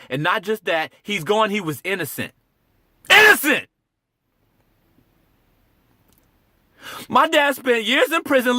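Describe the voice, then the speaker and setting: Man acting a grieving, bitter son, halting delivery. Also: fairly low pitch, no echo. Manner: halting, emphatic repetition with heavy pauses. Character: grieving, bitter grown son of an executed innocent father. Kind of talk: dramatic stage monologue.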